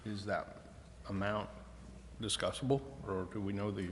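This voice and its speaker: A man speaking as a council member at a public meeting, in halting, formal phrases. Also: on the low side, steady tone. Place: indoor city council chamber. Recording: echoey council chamber with faint noise.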